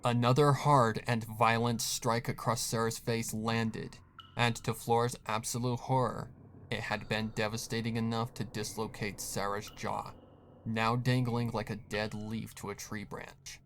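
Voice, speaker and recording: A man's voice: fairly low pitch, flat delivery, a little slow, fairly bright tone. Man narrating a horror story, faint noise.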